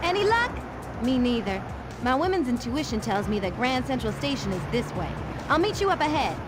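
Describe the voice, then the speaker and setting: An actress playing a confident, take-charge female zoo animal in a cartoon video game, brisk and sassy as she organizes her friends. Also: sits high, big pitch swings. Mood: determined. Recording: loud music over the voice.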